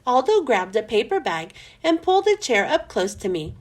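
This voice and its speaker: A woman narrates a children's story in a high voice. Her pitch rises and falls widely, and she leans on and draws out key words for young listeners. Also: big pitch swings, normal speed. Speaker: children's book reader. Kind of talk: expressive scripted storybook narration.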